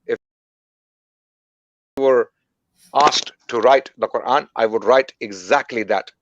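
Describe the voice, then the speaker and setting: A man's voice: mid-range voice, takes it slowly, slight background noise. A man giving an emphatic talk and repeating his key points. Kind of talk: recorded talk played back. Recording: dull lo-fi clip.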